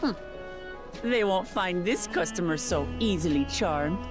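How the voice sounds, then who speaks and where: A man's voice: high voice, animated, measured pace, music in the background, voice pushed up. A man voicing a dry, skeptical young woman companion in a fan dub of a video game.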